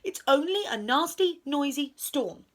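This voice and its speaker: A woman's voice: sits high, expressive, measured pace, fairly bright tone, pitched above the performer's own voice. A woman voicing a kindly, anxious male owl who leads his friends in a children's story, emphatic and reassuring.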